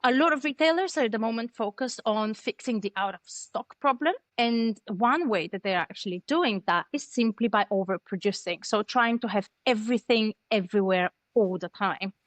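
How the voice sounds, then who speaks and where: A woman's voice: fairly high pitch, normal speed, few pauses, no room sound. A woman in her thirties from Bulgaria, a native Bulgarian speaker, explaining things articulately as an interview guest on a video call. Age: 30s. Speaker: interview guest, startup co-founder. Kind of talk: conversational explanation. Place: plain room at home, video call.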